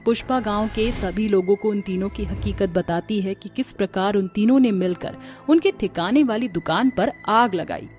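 A woman's voice: medium pitch, normal speed, few pauses, a little mellow, soft background music. A woman narrating a scripted story as its storyteller.

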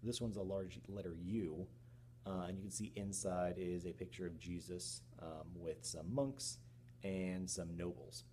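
A middle-aged man teaching history in an informal, halting, explanatory recorded lecture. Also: low voice, flat delivery, warm tone. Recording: clear background noise with hum.

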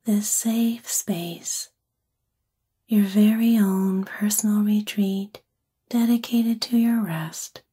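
A woman with a soft, breathy, whispery voice gently and soothingly guides a sleep meditation in an ASMR-like style. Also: medium pitch. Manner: gentle, soothing, unhurried phrasing.

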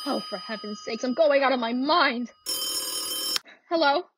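A woman's voice: sits high, measured pace, noisy background. A woman playing a frantic, demanding bedridden wife in a radio thriller, with an indignant, melodramatic manner. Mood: frantic, frightened. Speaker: actress in a student radio play. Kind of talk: theatrical radio thriller acting.

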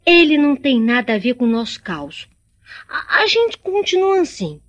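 A woman's voice: high voice, big pitch swings, normal speed, muffled recording. A woman playing a stubborn, teasing young rural daughter in a radio drama, with a Brazilian accent. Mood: stubborn, exasperated.

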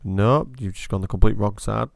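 A Yorkshireman from Hull in his thirties, bantering loosely and swearing as he plays. His voice has a gravelly, fried edge, picked up close and dry on a headset. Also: lowish voice, moderate pace, dry recording. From England. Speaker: streamer. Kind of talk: casual gaming chat.

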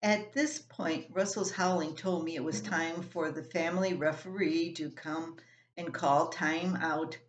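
An older woman in her seventies reads a family column aloud at a slow, unhurried pace. She is plain-spoken, and her voice carries the echo of a room at home, picked up from desk distance. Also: sits low, steady tone, slight background noise. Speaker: columnist reading own work. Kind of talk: reading a personal story aloud. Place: small room at home, indoors.

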